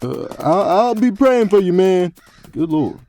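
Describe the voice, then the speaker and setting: A man's voice: sits high, expressive, measured pace, warm tone, slight background noise. A man playing a casual, wary adventurer in a fantasy audio drama. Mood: baffled, wary.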